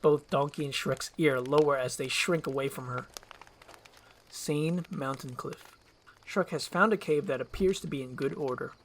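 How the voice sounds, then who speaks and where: A woman reading scripted stage directions as narrator, in a low-key, soft delivery. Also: moderate pace. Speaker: narrator and voice performer.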